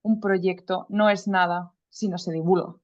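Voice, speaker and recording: A woman presenting a research project at an online seminar. She reads from a prepared text in a measured, formal way, with the odd casual aside. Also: fairly low pitch, steady tone, a little mellow, muffled recording. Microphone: video call audio.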